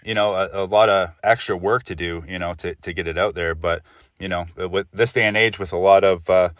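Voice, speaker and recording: A man hosting a casual podcast interview, talking haltingly, repeating words and often saying "you know". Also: deep voice, steady tone, a bit bright, no echo, far from the mic.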